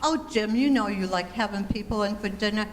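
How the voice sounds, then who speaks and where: A woman's voice: medium pitch, a little fast, close to an everyday voice. A woman playing a patient, politely coaxing housewife and mother in an amateur 1940s-style radio comedy. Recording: reverberant hall, faint background noise.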